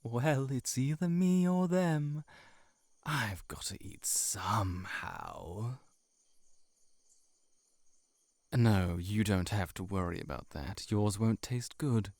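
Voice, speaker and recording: Slow, low-voiced predatory shapeshifter monster acted by a man. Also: lively intonation, a little mellow, no room sound. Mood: wry, puzzled. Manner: slow, measured, sardonic, dropping into breathy near-whispers. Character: heart-eating male shapeshifter monster, cynical, predatory, teasingly seductive. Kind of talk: intimate scripted audio roleplay.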